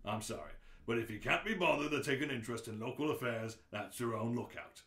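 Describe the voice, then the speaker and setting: A man's voice: mid-range voice, a little fast, fairly bright tone, lightly acted. A man voicing a pompous, callous alien bureaucrat in a radio play read-through, exasperated and official. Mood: exasperated, scornful. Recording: roomy, echoing room sound.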